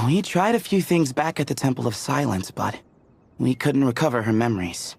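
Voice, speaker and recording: An actor playing an easygoing, teasing young man who chats playfully, with a gravelly voice. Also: mid-range voice, normal speed, natural voice. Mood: explaining. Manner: playful, chatty. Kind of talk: game cutscene voice acting. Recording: studio voice with faint music.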